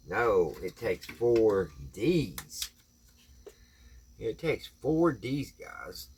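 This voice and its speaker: A man's voice: medium pitch, fairly bright tone, slight background noise. A man hosting a casual hands-on vlog, talking to viewers in a folksy way and thinking aloud as he works. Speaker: vlog host.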